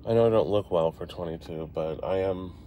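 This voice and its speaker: A man in his forties with a deep, low voice rambles casually to his viewers, chatty and a little self-deprecating. He is recorded on a phone in a moving car at night. Steady engine and road noise runs beneath his voice. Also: brisk. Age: 40s. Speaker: vlogger live streaming while driving. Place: inside a car driving city streets at night.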